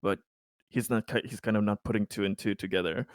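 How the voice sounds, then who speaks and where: A man playing a tabletop roleplaying game, halting and stammering as he moves between narration and improvised in-character lines. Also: lowish voice, fairly even intonation, no echo, clean studio sound. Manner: halting, stammering, often sighing.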